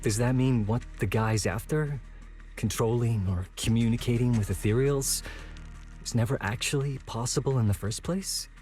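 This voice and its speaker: An earnest, trusting outcast played by a man from a video game. The voice sits at a middling pitch and has a wondering, slightly hesitant way of talking, with music clearly underneath. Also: normal speed, a little mellow, natural voice. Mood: curious, amazed. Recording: music behind the voice.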